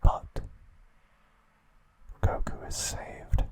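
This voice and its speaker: Male ASMR host, soft unvoiced whisper, unhurried delivery, dry playful asides. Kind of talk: whispered ASMR recap narration.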